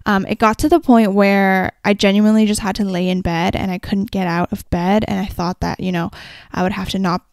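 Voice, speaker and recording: A young woman hosting her own podcast, chatting casually and rambling a little, her voice slightly raspy from a sore throat. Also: mid-range voice, level tone, fairly quick, a little mellow, no echo, clean studio sound. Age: young adult. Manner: chatty and rambling, frequent "like" and "kinda". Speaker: podcast host. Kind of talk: casual solo podcast chat.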